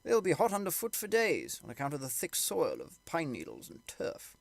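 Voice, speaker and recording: Man playing a cheerful, chatty, higher-voiced neighbour. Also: wildly swooping pitch, normal speed, creaky, no echo, faint music behind, heavily acted. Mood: good-humoured gossiping. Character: cheerful, chatty male neighbour, good-humoured gossip.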